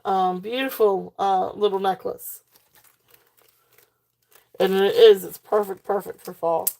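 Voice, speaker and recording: Woman, live sale host, mellow gravelly voice going hoarse, chatty with plenty of fillers. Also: mid-range voice, fairly even intonation, takes it slowly. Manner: chatty, fillers, reads comments aloud. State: losing her voice. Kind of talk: live auction selling chat.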